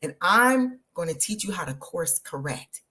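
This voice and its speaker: A woman, hosting an energetic, motivational live stream and talking straight to her viewers. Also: on the low side, measured pace. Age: 50s. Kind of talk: live social media stream.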